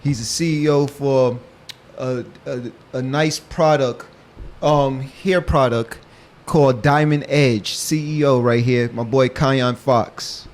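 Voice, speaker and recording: A man's voice: medium pitch, slow, few pauses. A man with an American accent hosting a casual interview, asking questions in slangy, familiar phrasing. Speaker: show host.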